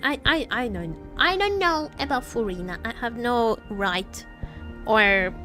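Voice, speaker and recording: A woman livestreamer chatting casually with viewers about games, halting and jokey, often repeating herself. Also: fairly high pitch, expressive, pushed and bright. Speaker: livestreamer, gaming content creator. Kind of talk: casual live gaming commentary, chatting with viewers. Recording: faint music behind the voice. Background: faint music.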